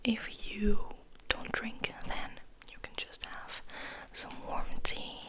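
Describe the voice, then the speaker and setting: A woman whispering ASMR as host, rambling softly and intimately to the listener, sometimes slipping between two languages. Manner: slow, intimate whisper, addressing the listener affectionately; switches between English and French. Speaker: ASMR host and poetry reader.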